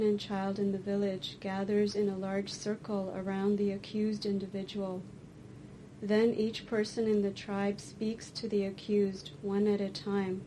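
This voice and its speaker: Very slow, soft-toned woman leading meditation. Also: mid-range voice, a little hiss or hum, low-quality recording. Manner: slow, deliberate, pausing between short phrases. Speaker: meditation teacher. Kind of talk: guided meditation and dharma talk.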